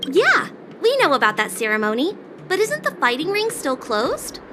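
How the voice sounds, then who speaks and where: A woman's voice: sits high, wildly swooping pitch, moderate pace, music in the background. A woman playing a practical, slightly skeptical young tourist merchant in an anime-style video game. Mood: businesslike.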